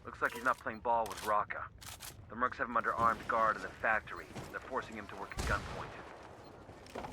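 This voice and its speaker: An actor playing a steady, terse special forces soldier. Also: male voice, fairly low pitch, normal speed, lightly acted. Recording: game audio with loud background noise.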